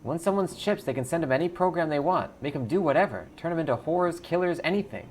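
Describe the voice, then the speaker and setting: A man's voice: a little high, animated, fast, a little mellow, slight background noise, voice pushed up, natural voice. A man voicing a careworn, wary woman in her thirties in a game, speaking in short plain sentences. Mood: wary, informative.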